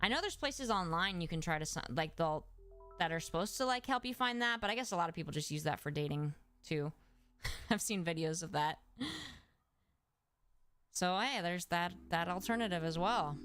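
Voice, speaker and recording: A woman's voice: fairly low pitch, animated, normal speed, a bit bright, smooth. A young adult woman, a speech-language pathologist, chatting casually on a livestream and answering viewers, with lots of "like" fillers and restarts. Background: background music.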